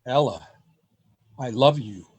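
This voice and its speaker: A man's voice: mid-range voice, slow, darkish tone, husky. A man acting an earnest, lovestruck and fearful poet in a radio play, with formal, theatrical phrasing, recorded over a video call. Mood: pleading, lovestruck. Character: earnest, lovestruck, fearful male poet keeping a secret journal. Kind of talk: radio play acting, journal narration and dialogue.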